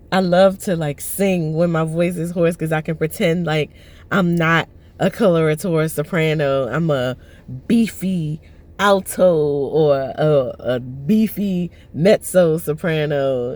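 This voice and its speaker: A woman in her thirties vlogging from her parked car, her voice hoarse and lowered from allergies, rambling with many fillers and restarts. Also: takes it slowly, a little mellow. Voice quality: lowered, husky voice. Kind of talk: casual personal vlog. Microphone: phone on the dashboard.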